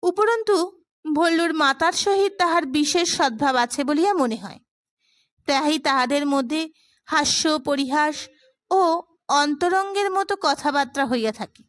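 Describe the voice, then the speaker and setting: A woman's voice: sits high, normal speed, fairly bright tone, no echo. A woman narrating a literary short story as a scripted audiobook.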